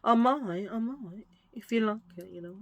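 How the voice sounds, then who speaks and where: A middle-aged woman with a husky low voice, chatting in a rambling, self-mocking way while showing a thrift haul. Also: moderate pace, slight background noise. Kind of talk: casual chatty haul video.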